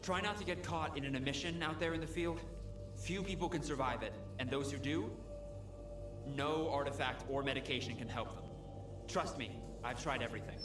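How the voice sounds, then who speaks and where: A man's voice: mid-range voice, lightly acted. A man playing an earnest, idealistic field medic in a video game, talking informally and with enthusiasm. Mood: wary, apologetic. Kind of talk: video game character dialogue. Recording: echoey room, music behind.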